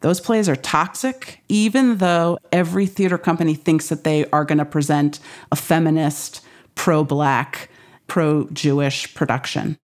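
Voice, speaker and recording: A woman's voice: sits low, a little slow, darkish tone. A woman with an American accent explaining things as an academic guest in an interview, emphatic and articulate.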